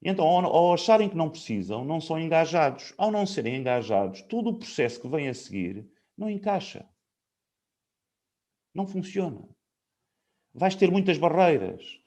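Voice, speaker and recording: A man's voice: on the high side, sing-song, normal speed, darkish tone. A man in his fifties hosting a casual conversation from home, explaining his views at length with many fillers. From Portugal. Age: 50s. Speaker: host.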